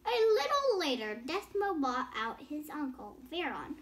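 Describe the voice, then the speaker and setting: A woman's voice: high voice, huge pitch swings, measured pace, noisy background. A young girl reading a bedtime story aloud with casual explanatory asides, stumbling over words and rereading phrases. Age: child.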